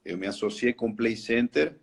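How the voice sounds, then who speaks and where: A man's voice: mid-range voice, moderate pace, gravelly, breathy edge. A middle-aged man from Argentina whose first language is Spanish, with an Argentine Spanish accent, telling his story as a guest on a live interview and hesitating often. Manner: hesitant, restarts phrases, frequent "né?". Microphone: video call.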